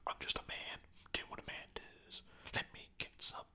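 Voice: moderate pace, pushed and bright. Whispering performer as randy, shameless British Labrador. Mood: cocky, randy. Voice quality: whispered, breathy. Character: randy, shameless male pet Labrador talking back.

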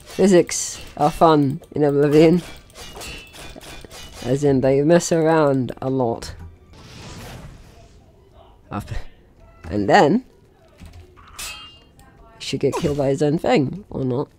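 A man's voice: a little high, lively intonation, slow, long pauses, dark tone, slight background noise. A man giving casual gameplay commentary, chatting through his own actions in short remarks. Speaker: gaming video host.

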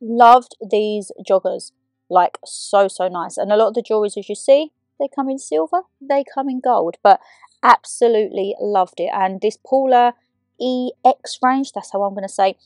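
A young woman with a slightly croaky voice, chatting in a rambling, giggly way as a shopping vlogger over a voiceover. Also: mid-range voice, moderate pace, dry recording, studio quality, soft background music. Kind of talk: chatty vlog talk and voiceover.

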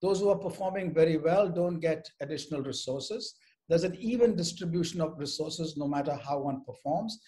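An older man giving formal, measured, articulate remarks as a panel speaker on a video call. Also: fairly high pitch, dark tone. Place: indoors at home, on a group video call.

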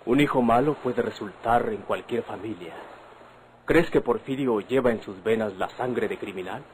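An actor with a Mexican accent playing an earnest young husband, a bandit's son, persuading his wife in measured, formal radio-drama diction. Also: lowish voice, lightly acted. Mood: insistent. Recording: noisy vintage radio drama recording.

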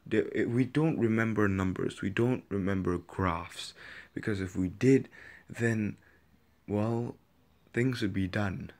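A man from Indonesia giving a reflective personal monologue as a video essayist, with deliberate pauses and repetition for emphasis. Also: fairly low pitch, a little mellow.

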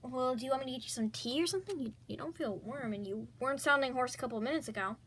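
A woman's voice: high voice, racing, slight background noise. A woman voicing a patient mother who checks her daughter's temperature and grows suspicious of her faked illness, in a comedy skit. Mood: doubtful. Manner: calm, matter-of-fact, suspicious. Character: patient, doubtful mother of two girls.

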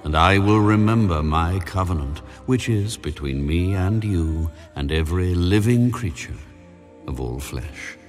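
God: grave deity, deep, unhurried delivery. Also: male voice, lively intonation. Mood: solemn. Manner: slow, measured, grave pronouncements. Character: God, solemn commanding male deity. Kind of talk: dramatized scripture reading. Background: soft music.

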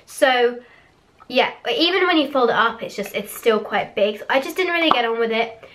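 Hoarse teen girl, fairly high voice, chatty and candid, bedroom webcam vlog. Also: animated, normal speed, fairly bright tone. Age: teens. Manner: chatty, candid, a little giggly. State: hoarse, voice cracking after a cough. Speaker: vlogger reviewing products. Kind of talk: casual chat to camera. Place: small bedroom with posters on the wall. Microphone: webcam in front of her face.

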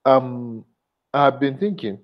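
A man's voice: mid-range voice, lively intonation, a little slow. A man with a Nigerian accent asking an unscripted question as an attendee on an online webinar. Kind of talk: unscripted question on a group call. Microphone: video call. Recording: dull, lo-fi video call audio.

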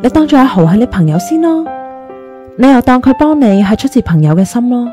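A woman's voice: fairly high pitch, very animated, brisk, warm tone, soft background music. A woman playing a caring, soothing young best friend in an audio drama. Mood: reassuring.

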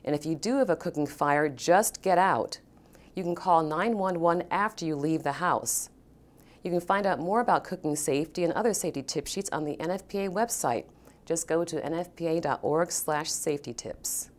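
Calm woman reading a safety message. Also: low voice. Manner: calm, measured, deliberate. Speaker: safety spokesperson. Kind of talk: scripted public service announcement.

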